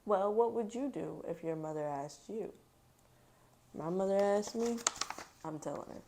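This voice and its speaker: A woman's voice: medium pitch, a little slow, mellow, slight background noise. A woman hosting a children's book read-aloud, mixing storybook narration with casual commentary. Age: adult mother.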